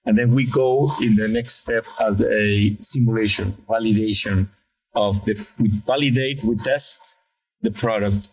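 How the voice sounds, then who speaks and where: A middle-aged man with a Mexican Spanish accent talks in English, his first language being Spanish. He pauses and restarts often but stays friendly. His voice sits on the lower side. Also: fairly even intonation, poor audio. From Mexico City, Mexico. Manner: hesitant, many fillers and restarts, friendly. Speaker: guest, sales lead. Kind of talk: interview answers.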